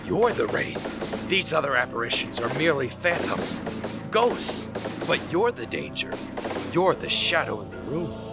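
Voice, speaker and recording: A man playing a wary, frightened soldier in an audio drama, speaking in a halting, brooding way. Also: medium pitch, very animated. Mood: suspicious. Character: wary, frightened male soldier reasoning his way out. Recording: dull, lo-fi sound under loud music.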